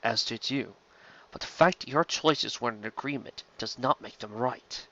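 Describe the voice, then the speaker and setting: Older alien mentor, done by a male narrator: stern, measured, authoritative, with a forceful edge, for storytelling. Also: mid-range voice, highs cut off, a little hiss or hum. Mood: grave, chiding.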